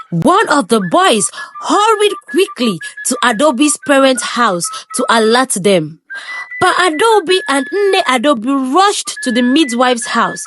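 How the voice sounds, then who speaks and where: High, lively woman narrating a tale. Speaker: storyteller. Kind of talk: scripted narration of a folk tale. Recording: clear music under the voice. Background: background music.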